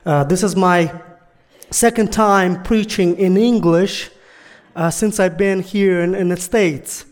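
A preacher and native Russian speaker delivers a sermon at a slow pace. The voice sits in a medium register. The delivery is deliberate and somewhat halting, as a second-language speaker praying and reading scripture. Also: medium pitch, steady tone. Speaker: guest preacher.

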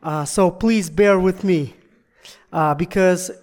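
A guest preacher whose first language is Russian, giving a sermon in halting, deliberate second-language speech. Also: mid-range voice, darkish tone. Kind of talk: sermon with prayer and scripture reading.

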